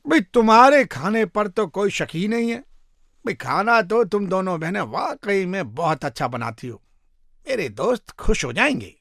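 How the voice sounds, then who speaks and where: A man's voice: high-pitched, big pitch swings, normal speed, a bit bright, dry recording, studio quality, voice pushed up, heavily acted. A man voicing a chatty neighbourhood man in a moral story, emphatic and familiar in how he addresses people. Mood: pleased, eager.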